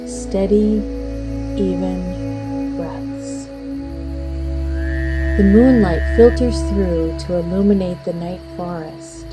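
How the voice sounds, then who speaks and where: A woman leading a guided meditation as an instructor, speaking in a measured, soothing way with long pauses. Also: on the low side, fairly even intonation. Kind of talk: slow guided meditation narration. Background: music and birdsong.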